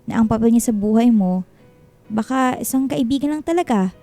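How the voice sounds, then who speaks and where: An actress playing a frank but caring married best friend giving advice in a radio drama. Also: female voice, a little high, moderate pace, warm tone, soft background music, lightly acted. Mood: earnest advising. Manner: candid, consoling, advising. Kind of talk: Filipino radio drama acting.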